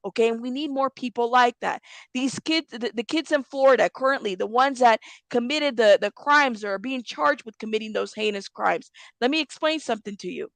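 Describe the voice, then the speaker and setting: Woman commentator on a heated, cursing rant, hammering points home; higher voice, dry close mic. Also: fairly quick, vocal fry, no room sound. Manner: blunt, profane, repetitive, emphatic. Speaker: commentary channel host.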